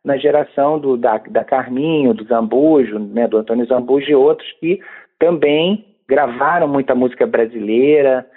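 A man's voice: mid-range voice, moderate pace. A man with a Brazilian Portuguese accent, a music researcher talking conversationally with restarts and tag questions. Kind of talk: interview clip, recalling music history. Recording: phone-like narrow band.

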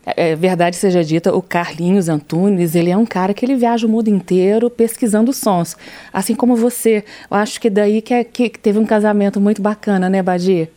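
A woman's voice: medium pitch, steady tone, fairly quick, warm tone, no echo. A woman hosting an interview, asking friendly questions and giving short agreeing replies. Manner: affable, short backchannels. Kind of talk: casual interview talk.

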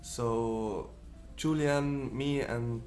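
A man from Switzerland or Austria, a jazz musician, explaining in a relaxed way in an interview and often trailing off softly. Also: medium pitch, very slow, faint music behind. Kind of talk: casual interview.

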